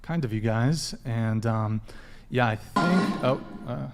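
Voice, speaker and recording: A man hosting a casual dating podcast, reading shout-outs and joking between plugs. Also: mid-range voice, slow, loud music behind the voice. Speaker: podcast host.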